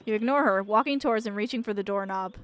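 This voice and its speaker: A woman reading aloud the measured, descriptive second-person narration of an eerie visual novel. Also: medium pitch, clean tone, lightly acted.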